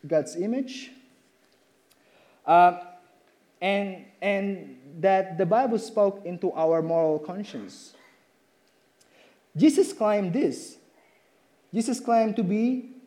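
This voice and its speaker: Male preacher, slightly high, mellow tone, halting delivery. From Indonesia. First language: Indonesian. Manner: halting, with many fillers and restarts. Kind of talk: sermon with slides.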